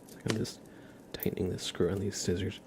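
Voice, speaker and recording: Male ASMR streamer, low gravelly murmur sliding into whispers, gentle and friendly, close-miked. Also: level tone, brisk, background noise. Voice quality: soft-spoken, often dropping into whisper. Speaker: livestream host. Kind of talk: ASMR, chatting with viewers. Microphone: close ASMR microphone.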